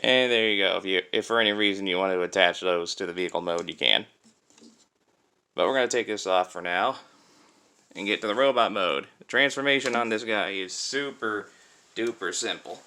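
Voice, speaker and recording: A man's voice: fairly low pitch, moderate pace, bright, forceful tone, smooth. A man giving a casual hands-on demonstration as a toy reviewer, explaining things step by step.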